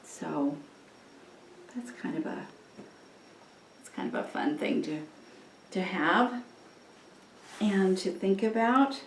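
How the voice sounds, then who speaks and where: A woman giving an informal, reflective children's church lesson, thinking aloud with halting pauses, recorded at a distance in a roomy space. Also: medium pitch, animated. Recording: roomy, distant mic, faint noise.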